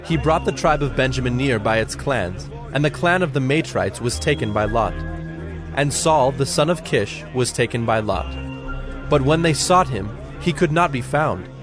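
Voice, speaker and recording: A man narrating scripture from a script as a solemn, measured storyteller. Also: medium pitch, lively intonation, over music.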